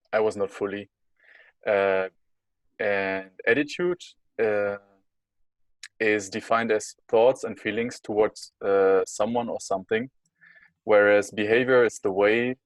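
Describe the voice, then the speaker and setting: Male student presenter, low monotone delivery, dry close sound, frequent filler pauses. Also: no echo, poor audio. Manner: measured, many filler pauses. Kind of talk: class presentation.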